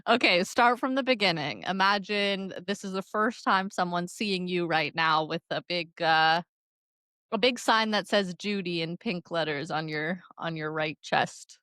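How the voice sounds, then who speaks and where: A woman's voice: lowish voice, measured pace, pushed and bright, clear, resonant tone, dry recording. Woman hosting a podcast. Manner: friendly, laughs often. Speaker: podcast host. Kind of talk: casual interview.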